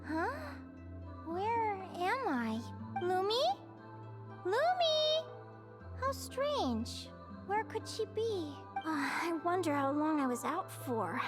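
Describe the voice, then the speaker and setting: A woman voicing an earnest, excitable young heroine in an anime video game, expressive and determined. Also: very high-pitched, slow pace, loud music behind the voice, full character voice. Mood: confused, worried. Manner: expressive, exclamatory.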